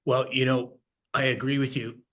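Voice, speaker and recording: A man's voice: medium pitch, level tone, normal speed, fairly bright tone. A man with an American accent, talking conversationally as the host of an interview show. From United States.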